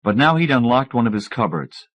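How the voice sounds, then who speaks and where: A man's voice: mid-range voice, sing-song, brisk, a bit bright. A middle-aged man narrating a first-person novel as an audiobook reader.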